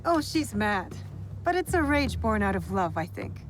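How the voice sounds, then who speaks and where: An actress playing a calm, resolute young woman investigator in a video game drama, speaking in a measured, firm way. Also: mid-range voice, close to an everyday voice. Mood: measured, probing. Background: background music.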